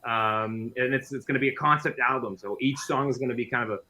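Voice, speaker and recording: A French Canadian man whose first language is French talks as a guest on an interview show. His voice is bright and forward at a middling pitch. He is friendly and chatty, often restarting his phrases. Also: fairly even intonation, fast, poor audio. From Quebec, Canada. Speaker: guest musician and guitar teacher. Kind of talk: casual interview chat.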